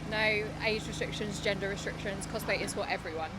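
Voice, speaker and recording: A woman cosplayer talking in a friendly, articulate way about her costumes. Loud background noise fills the busy convention hall. Her voice sits in a middle range. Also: mid-range voice, steady tone, brisk. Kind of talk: casual interview at a convention.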